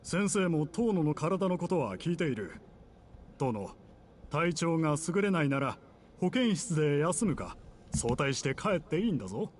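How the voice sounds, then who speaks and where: An actor voicing a kindly, trusting male high school teacher in a visual novel, with a concerned, gentle manner. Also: sing-song, a little fast, slight background noise. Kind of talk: Japanese visual novel voice acting.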